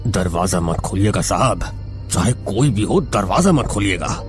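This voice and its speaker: Guy doing creepy old caretaker in a horror story, low n grave, very up and down, faint music behind. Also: animated, measured pace. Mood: grave warning. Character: ominous, superstitious old male villa caretaker. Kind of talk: dramatised Hindi horror audio story.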